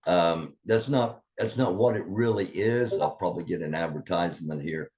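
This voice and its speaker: Low-voiced man rambling through news. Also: normal speed, a little mellow. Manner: rambling, thinking aloud with many fillers. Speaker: news commentary host. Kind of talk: casual online news commentary.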